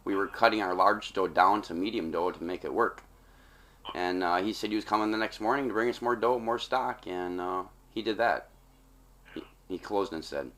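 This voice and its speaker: A man's voice: fairly low pitch, normal speed, a bit bright. An American man, a former store employee, talking insistently on a phone call in an aggrieved manner. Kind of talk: phone conversation. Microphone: telephone call.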